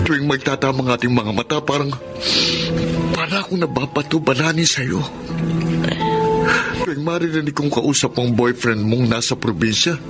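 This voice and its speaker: A Filipino man playing an anguished married man confessing forbidden love in a melodramatic radio drama, speaking in a halting, emotional way. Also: a little high, close to an everyday voice. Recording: music bed under the voice.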